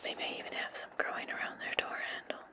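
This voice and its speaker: A woman playing a calm, commanding, sly witch in a whispered ASMR fantasy roleplay, instructing her servant slowly and deliberately. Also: natural voice. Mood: hushed, instructive. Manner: slow, deliberate, hushed instruction.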